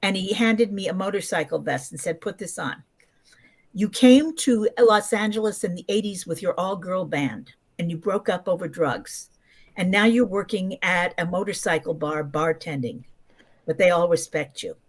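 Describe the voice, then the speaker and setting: Older woman with a low voice, telling anecdotes. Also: normal speed. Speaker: podcast guest, actress. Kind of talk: casual interview anecdotes.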